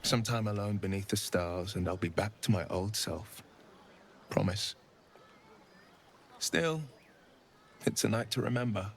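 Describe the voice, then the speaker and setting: A man playing a melancholy, self-deprecating horned gentleman in a video game, speaking with courteous, wry eloquence. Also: on the low side, a little slow, long pauses, a little hiss or hum. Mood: wry, putting on cheer. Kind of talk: video game character acting.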